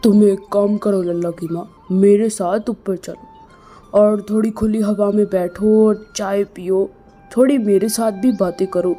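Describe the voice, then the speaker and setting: A woman voicing a calm, sensible older husband who gently scolds his wife, in a storybook tale. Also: mid-range voice, unhurried, soft background music, natural voice. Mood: coaxing.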